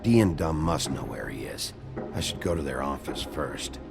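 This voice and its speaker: An actor playing a gruff, weary, terse sheriff detective with a gravelly voice in a video game. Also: male voice, very deep voice, animated, normal speed, full character voice. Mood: gruff, businesslike. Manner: terse, blunt. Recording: music behind the voice.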